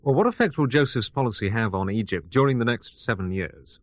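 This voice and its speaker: Formal, lively-pitched actor as male radio news anchor. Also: no room sound, lightly acted. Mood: formal, serious. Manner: formal, measured, authoritative. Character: male studio news anchor of an ancient-world bulletin. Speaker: news presenter. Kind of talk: scripted radio news reading.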